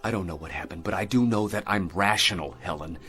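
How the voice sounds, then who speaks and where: An actor playing a shaken American astronaut husband in a radio drama, speaking earnestly and haltingly and insisting he is rational. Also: deep voice, sharp tone. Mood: troubled, insistent. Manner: halting, earnest delivery with repeated words.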